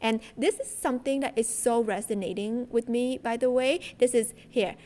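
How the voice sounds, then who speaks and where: Chatty woman with a high voice. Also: steady tone, moderate pace, mellow. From Vietnam. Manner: chatty and enthusiastic, many fillers. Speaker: spiritual content creator. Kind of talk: casual chat to viewers.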